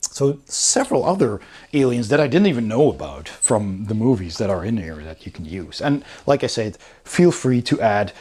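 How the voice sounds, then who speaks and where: A man's voice: fairly low pitch, sing-song, normal speed, darkish tone, breathy edge. A man giving a casual, enthusiastic review, talking through a book as he goes. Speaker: board game reviewer.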